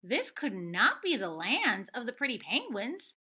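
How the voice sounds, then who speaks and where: A woman teacher with a bright, clear-cut delivery reads and talks to young children. Her pitch moves expressively, and she puts questions to her listeners. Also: sing-song, unhurried. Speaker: elementary school teacher. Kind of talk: children's read-aloud and lesson.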